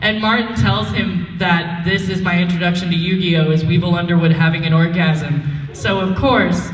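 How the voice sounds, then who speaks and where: A man's voice: high-pitched, fairly even intonation, moderate pace. A man in his twenties telling a comic anecdote as a panelist in an echoey convention room. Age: 20s. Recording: echoey hall, distant mic.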